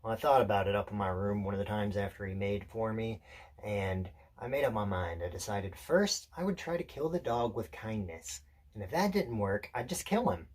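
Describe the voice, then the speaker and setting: A male actor performs an intense, solitary drifter telling a rambling story in a theatrical monologue. The voice sits low and has a husky grain. He confides in his listener with deliberate pauses and small self-corrections, always somewhat unsettled. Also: lively intonation, darkish tone. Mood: confiding, edgy.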